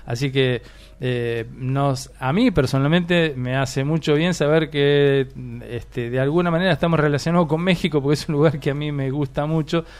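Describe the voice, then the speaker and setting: A man's voice: a little high, moderate pace, a little mellow, smooth. Argentine male radio host in his sixties who asks long, meandering questions. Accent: Argentine Spanish. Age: 60s. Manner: rambling, hesitant with many fillers. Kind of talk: warm radio interview.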